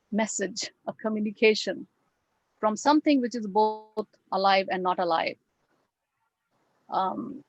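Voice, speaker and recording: A woman with a Bengali accent, whose first language is Bengali, gives an academic talk over a video call, reflective and full of pauses and fillers. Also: mid-range voice, no room sound, low-quality recording. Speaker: guest lecturer.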